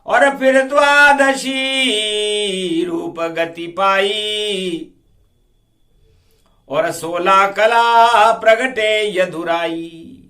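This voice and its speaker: A man preaching in a devotional storytelling style, half-singing rhymed verse in drawn-out, declamatory phrases and breaking into quick spoken explanations. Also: high-pitched, pushed and bright.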